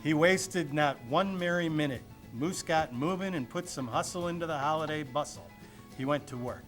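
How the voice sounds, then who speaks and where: Guy reading a picture book out loud, playful, kinda high voice, music going behind him. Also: moderate pace. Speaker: storybook reader. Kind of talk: expressive children's storybook reading.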